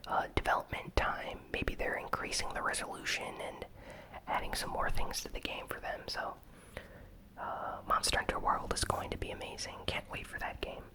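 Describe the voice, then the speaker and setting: A host whispering an ASMR news recap in a breathy, unvoiced voice, with casual, informal commentary and unhurried, hesitant phrasing. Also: pushed and bright. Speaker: host of a gaming news channel.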